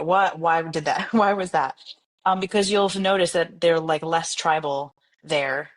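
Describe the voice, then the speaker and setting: A young woman with a New York accent chats as an interview guest, her voice sitting a little low for a woman. She tells stories with animated energy, breaking into quick laughs and trailing through plenty of ums and likes. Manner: animated storyteller, laughs often, many fillers. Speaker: jazz singer, podcast guest. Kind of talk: casual interview chat.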